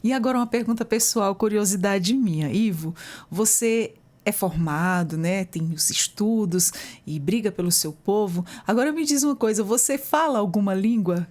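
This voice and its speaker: A woman hosts an interview in a relaxed, conversational way. Her voice sits mid-range, and she tells personal anecdotes at length. She reacts to her guest with lively, enthusiastic exclamations. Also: mid-range voice, normal speed. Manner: chatty and enthusiastic, with warm exclamations. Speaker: interview host.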